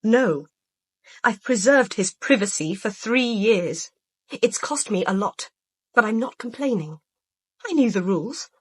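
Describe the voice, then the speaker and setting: A proud, guarded mistress in a radio drama, holding bitter hurt under control. Her voice is mid-pitched and husky, recorded close and dry. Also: animated, unhurried, no room sound. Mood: proud, defiant. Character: proud, wounded secret mistress, guarded and defiant.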